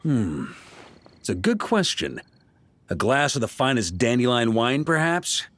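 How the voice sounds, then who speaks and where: An actor playing a seasoned, easygoing knight grandmaster in a video game, speaking in a laid-back, wry way. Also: male voice, medium pitch, big pitch swings, measured pace, a bit bright, a little hiss or hum. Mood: playful. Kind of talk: video game character dialogue.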